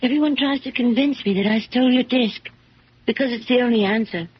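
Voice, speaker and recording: An actress playing an earnest, self-doubting woman accused of theft, halting and pleading, in a 1970s radio drama. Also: on the high side, slightly rough, dry recording. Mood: confused, resigned. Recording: dull, noisy old radio recording.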